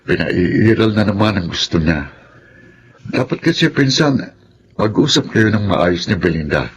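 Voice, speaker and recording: An actor playing a calm, reasonable, concerned elder cousin with a Filipino accent in a radio drama. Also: male voice, on the low side, measured pace, a little hiss or hum. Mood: concerned, advising.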